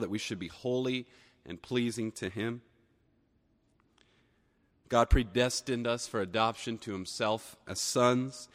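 Hoarse preacher in a reverberant hall. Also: male voice, mid-range voice, a bit bright. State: hoarse from a cold. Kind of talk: sermon. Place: church sanctuary.

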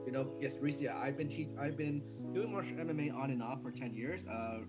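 A young man from New York City, a martial arts instructor and guest, talking in quick run-on sentences with restarts, laughs and blunt swearing. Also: mid-range voice, loud music behind the voice. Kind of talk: casual interview.

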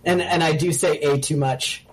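A woman's voice: deep voice, steady tone, normal speed. A talkative American woman in her forties, chatting easily as a podcast guest. She breaks into laughter often and keeps a loose, conversational flow. There's a faint hum of room ambience behind her. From United States. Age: 40s. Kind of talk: casual chat.

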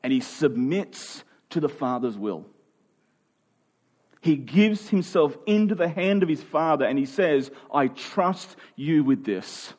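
Male pastor, sermon, slightly high voice, conversational, emphatic swells. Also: animated, takes it slowly, darkish tone. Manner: conversational preaching, rising to emphatic peaks.

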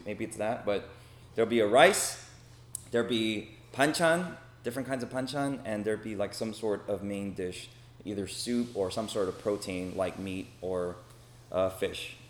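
A man's voice: fairly low pitch, moderate pace. A man in his forties with an American accent gives an informal, conversational talk to a group, sharing personal anecdotes and often checking in with short tags. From Los Angeles, United States. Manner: conversational, frequent check-in tags like "okay?" and "right?". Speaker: speaker giving a talk. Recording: roomy echo, faint background noise.

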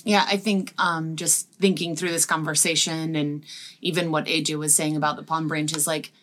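A woman's voice: low-pitched, flat delivery, normal speed, a bit bright. A woman talking reflectively in a casual devotional discussion, conversational with frequent fillers.

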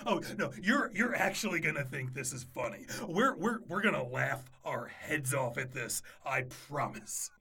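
A woman's voice: sits low, expressive, normal speed, creaky, few pauses, sharp tone, husky, exaggerated voice. A woman playing a scheming, exasperated best friend in an audio drama. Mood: nervous amusement.

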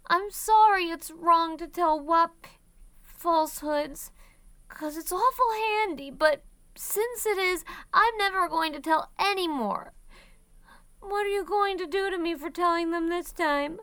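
A woman's voice: extremely high pitch, a little slow, a bit bright, dry recording, voice pushed up, full character voice. A woman voicing a curious, stubborn six-year-old boy who asks insistent, aggrieved questions. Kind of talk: audiobook character voice.

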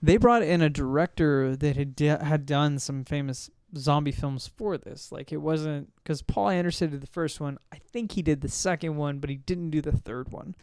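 A man with a fairly high, clear voice in a dry, close recording. He talks as a casual podcast host, rambling through his points and circling back to correct himself. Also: moderate pace, few pauses, darkish tone, no echo. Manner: rambling, self-correcting, hesitant recall. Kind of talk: casual discussion of films.

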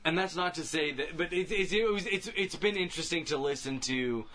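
Casting: male podcast co-host with a bright, clear-cut tone, thinking aloud in casual group conversation. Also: a little high, a little fast, slight background noise. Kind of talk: casual group chat about video games.

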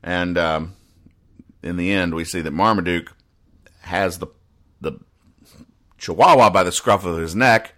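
A man hosting a comedy podcast, explaining things in a deadpan way with halting restarts and emphatic repetition. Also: deep voice. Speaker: podcast host. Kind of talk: deadpan comedic explanation of newspaper comic strips.

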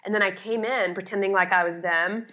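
A woman's voice: medium pitch, steady tone, fairly quick, bright, forceful tone, dull audio. A middle-aged woman talking casually as an expert guest in an interview, with a chatty, emphatic, self-deprecating way of explaining. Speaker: guest, home organizing expert.

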